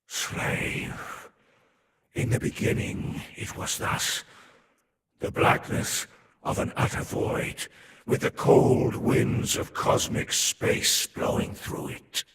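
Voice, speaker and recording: A man's voice: slow, natural voice. A man voicing an ancient, haughty serpent-man overlord in a video game, solemn and imperious, with a whispery, voiceless tone. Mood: solemn, reverent. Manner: solemn, imperious, archaic phrasing. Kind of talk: scripted game lore narration. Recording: echoing, faint background noise.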